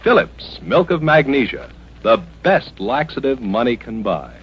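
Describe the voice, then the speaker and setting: A man's voice: medium pitch, very animated, a little slow, very noisy. An American man reading a commercial as an announcer in old-time radio style. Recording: dull old radio recording.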